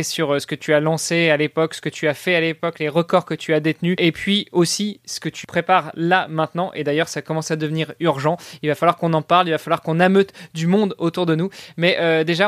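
Chatty French podcast host, higher voice. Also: male voice, dry recording, studio quality. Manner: chatty, many fillers and restarts. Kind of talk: casual podcast interview.

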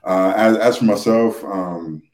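A man with a low voice chats as a guest on a podcast. He speaks haltingly, hedging and doubling back on his words. The recording sounds echoey and roomy. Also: darkish tone, faint music behind. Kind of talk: casual chat.

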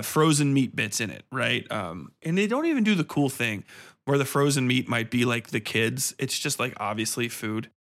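Man, somewhat high-pitched voice, podcast host, exasperated, sarcastic, emphatic, profanity-heavy casual monologue. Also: sharp tone. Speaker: podcast host reviewing horror films and books. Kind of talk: casual ranting review monologue, heavy swearing.

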